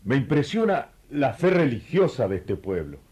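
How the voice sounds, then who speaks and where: A man's voice: medium pitch, sing-song, normal speed, mellow, slightly rough. A man playing a courteous, inquisitive royal treasurer from Ethiopia in a radio play, speaking politely and invitingly. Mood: courteous, curious.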